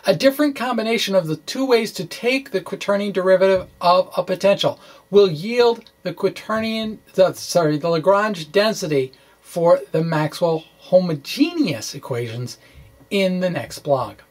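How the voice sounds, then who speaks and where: A man explaining physics in an informal walkthrough, thinking aloud in a halting way and correcting himself, with wry asides. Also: high voice, lively intonation. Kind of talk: informal math walkthrough, reading and ad-libbing.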